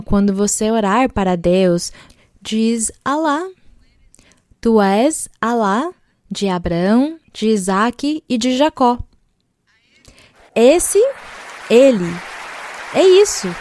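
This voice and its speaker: Woman, church leader, slow deliberate delivery, dry close sound. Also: medium pitch, animated, mellow, no room sound. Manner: slow, patient, explaining step by step. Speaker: church leader answering a question. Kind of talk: sermon-style answer. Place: large arena.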